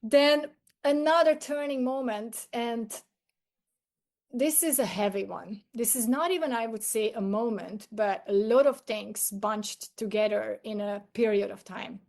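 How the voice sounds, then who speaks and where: A middle-aged woman with a fairly high voice and a Hungarian accent. She speaks as a podcast guest, sharing personal stories in a conversational, expressive way. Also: a little slow, gravelly. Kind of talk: personal storytelling in an interview.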